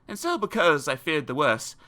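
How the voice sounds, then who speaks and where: A man narrating children's comic poems in a theatrical, expressive storytelling style. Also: a little high, moderate pace, heavy background noise. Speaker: audiobook narrator. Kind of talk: dramatic children's poetry reading.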